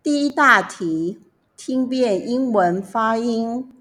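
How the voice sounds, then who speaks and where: A woman reading the instructions and section titles of a school listening test in a measured way. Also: a little high, lively intonation, a bit bright. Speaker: test announcer. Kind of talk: scripted exam instructions.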